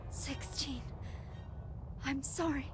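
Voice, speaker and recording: A woman's voice: sits high, steady tone, very slow. A woman playing a fragile, apologetic female android in a video game, hesitant as she tries to sound composed, with music behind. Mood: sheepish, shaky. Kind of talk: English video game voice acting.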